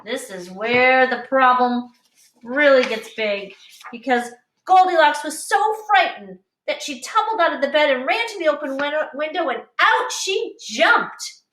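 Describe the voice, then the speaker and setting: A woman teacher reading a picture book aloud to young children with deliberate, explanatory expression. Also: high voice, expressive, a bit bright. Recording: echoey room, distant mic.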